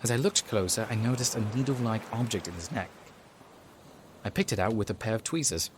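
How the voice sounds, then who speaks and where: Man acting a wry detective, lively intonation. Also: fairly low pitch, brisk, background noise, close to an everyday voice. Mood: intent, wry. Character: weary, wry, rule-bending male detective sergeant. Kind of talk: audio drama acting with first-person narration.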